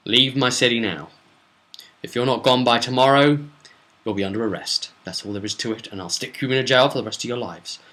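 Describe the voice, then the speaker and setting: A man's voice: medium pitch, a little fast, sharp tone, close to an everyday voice. A British man voicing a long-winded, contemptuous city authority who scolds and threatens adventurers in a role-play recap. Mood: threatening.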